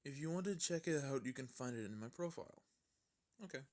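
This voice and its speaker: A man's voice: medium pitch, animated, fairly quick, darkish tone. A man reading fan fiction aloud as an amateur narrator, stumbling now and then and rereading his words.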